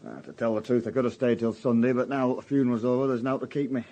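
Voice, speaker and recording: An actor playing a plain-spoken young army private in a radio drama, with a Northern English accent and a hesitant, colloquial way of talking. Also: male voice, medium pitch, fairly even intonation, fast, warm tone, muffled recording, close to an everyday voice. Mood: awkward, guarded. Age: young adult. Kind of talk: naturalistic radio drama acting.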